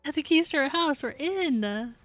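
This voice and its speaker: A woman's voice: high-pitched, animated, moderate pace, a bit bright, soft background music. A woman streaming a game, giving casual, playful live commentary in a chatty way.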